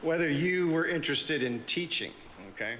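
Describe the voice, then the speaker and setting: Male audience member, booming and bright, off-mic with hall reverb. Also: normal speed, a little hiss or hum. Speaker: audience member asking a question. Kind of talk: casual question from the floor.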